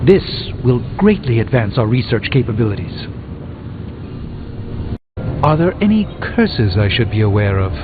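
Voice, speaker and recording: An actor playing an erudite, dryly witty sorcerer in a video game, with pompous, theatrical, ornate delivery. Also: male voice, mid-range voice, sing-song, unhurried, pauses often. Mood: intrigued, appraising. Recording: muffled phone-like band, music behind.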